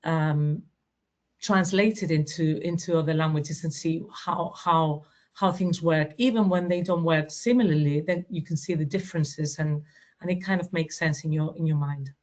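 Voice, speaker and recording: A woman with a fairly low voice whose first language is Spanish. She talks conversationally and reflectively as a panelist, feeling her way through phrases. The sound is slightly dull, like an online call. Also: moderate pace, darkish tone. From Spain or Spanish-speaking country. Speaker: webinar panelist, linguist. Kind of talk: conversational personal account in a webinar.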